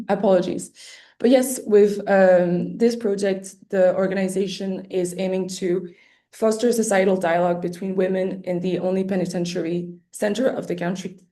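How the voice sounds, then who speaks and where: A woman with a mellow, slightly hoarse voice, losing it as she talks. She hosts a webinar over a computer mic, hesitating on fillers and restarting phrases. She keeps a practical, explaining tone. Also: lowish voice. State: losing her voice, clearing her throat often. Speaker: webinar presenter for an arts award. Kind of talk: explaining an application process. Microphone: computer mic on a video call.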